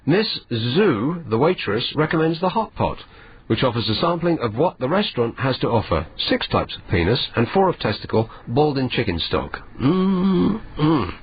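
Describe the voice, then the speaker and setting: A man hosting a late-night radio phone-in, hoarse and cheeky, teasing his callers with dry humor. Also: mid-range voice, highs cut off. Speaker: radio host.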